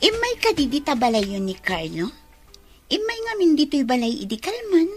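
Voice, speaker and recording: A coy young schemer with a very high, swooping voice. She insinuates and stirs up jealousy in radio drama style. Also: sing-song, normal speed, soft background music. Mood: coy, insinuating.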